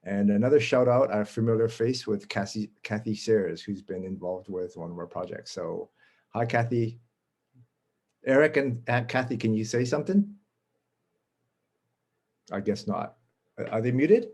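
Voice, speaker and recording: A friendly man from Canada speaks on a video call in a fairly low voice. He halts often, filling the gaps with "um" and "uh" as he presents. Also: a little mellow, breathy edge. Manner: friendly, halting, with many fillers. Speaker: guest speaker. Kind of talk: casual presentation.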